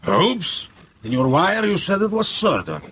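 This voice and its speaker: A man's voice: high voice, very animated, a little slow, a bit bright, slightly rough, full character voice. An actor in a radio drama playing a vain, imperious European king, pompous, impatient and melodramatic. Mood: demanding. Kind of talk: BBC radio drama acting.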